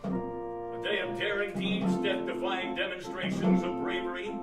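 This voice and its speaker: An actor playing an earnest, dramatic event reporter, narrating a mock broadcast in a radio comedy. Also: male voice, sits high, monotone, measured pace. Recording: roomy distant live stage, loud music behind.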